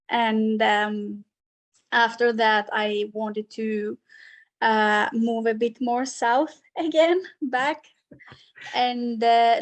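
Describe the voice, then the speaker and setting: Young woman panelist, slow and hesitant, higher voice. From Syria and Sweden. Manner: hesitant, many fillers and restarts. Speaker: panelist, graduate student.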